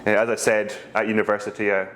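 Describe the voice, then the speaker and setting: A young adult man from Scotland with a Scottish accent, answering interview questions in a relaxed way with frequent ums and pauses. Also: lowish voice, a little hiss or hum. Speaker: guest, whisky brand ambassador.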